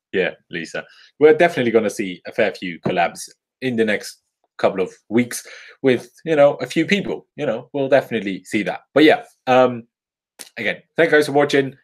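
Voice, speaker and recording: A man's voice: medium pitch, animated, normal speed. A man in his twenties from Austria, with an Austrian accent tinged by English and Irish, chatting casually in a live-stream Q&A with frequent laughs and ums. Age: 20s. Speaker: football YouTuber.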